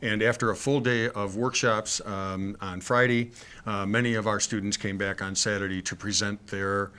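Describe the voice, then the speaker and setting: A man's voice: fairly low pitch, level tone, moderate pace, pushed and bright, clean tone. A middle-aged man, a school superintendent co-hosting a talk show, speaking with many ums and uhs. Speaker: superintendent and co-host. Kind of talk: casual studio chat.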